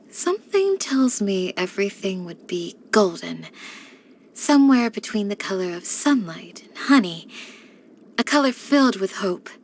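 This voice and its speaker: A woman voicing a calm, devoted young desert village guardian in a video game, speaking in a composed, measured, gracious way with formal phrasing. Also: medium pitch, a little hiss or hum. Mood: serene, hopeful. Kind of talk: scripted video game character voice lines.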